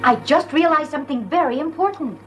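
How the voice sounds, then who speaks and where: A woman's voice: high voice, a little fast, music in the background, lightly acted. An actress playing an airy, scatterbrained wealthy wife who dithers as she talks. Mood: dithering. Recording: old TV sitcom with hiss.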